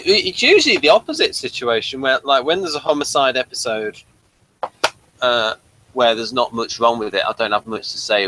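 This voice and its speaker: Guy with a crisp bright voice, casual review chat, lots of i, i restarts. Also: mid-range voice, low-quality recording. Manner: hesitant, repeats words when starting. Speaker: podcast co-host.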